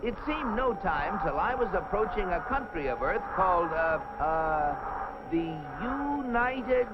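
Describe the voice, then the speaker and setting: A man voicing an eager, boastful, fawning Martian space explorer who makes a grandiose speech in a 1950s animated cartoon. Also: high-pitched, unhurried, heavy background noise. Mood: boastful, excited. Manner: earnest, grandiose, speech-making with flustered hesitations. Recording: muffled 1950s film soundtrack.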